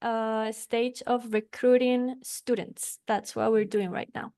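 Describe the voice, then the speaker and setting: Smooth-voiced woman. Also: fairly high pitch, little pitch movement, slow pace, dark tone. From Costa Rica. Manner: searching for words, casual. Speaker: course participant.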